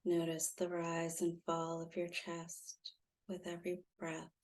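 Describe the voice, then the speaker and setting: A woman guiding a meditation in a soothing, gently instructive way, leaving long pauses. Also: lowish voice, completely flat delivery. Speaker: meditation guide, intuitive healer. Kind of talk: guided meditation.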